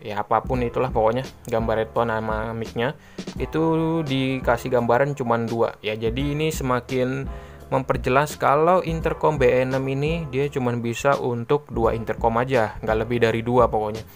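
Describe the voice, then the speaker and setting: A man's voice: mid-range voice, fairly even intonation, moderate pace. A man gives a casual, unscripted product explanation, rambling and repeating himself with informal filler tags. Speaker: product reviewer and online seller. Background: background music.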